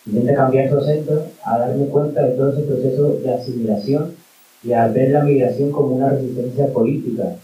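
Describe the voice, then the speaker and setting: A man's voice: medium pitch, steady tone, brisk, lo-fi sound. Impassioned man, far off in a roomy hall. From Colombia. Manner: impassioned, argumentative, full of fillers like "o sea" and "en plan". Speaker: panel speaker, migrant activist. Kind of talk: speaking at a public talk. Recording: roomy hall, voice picked up from afar, faint hiss.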